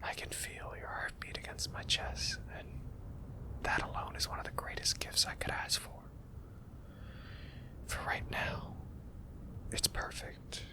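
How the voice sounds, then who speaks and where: A man's voice: unhurried, pauses often, rough recording. A man whispering a tender, hesitant confession as a boyfriend in an ASMR roleplay.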